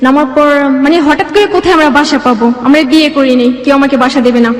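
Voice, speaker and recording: A woman's voice: high voice, quick pace. A young Bangladeshi woman telling her own life story as an interview guest. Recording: echoey room with long reverb.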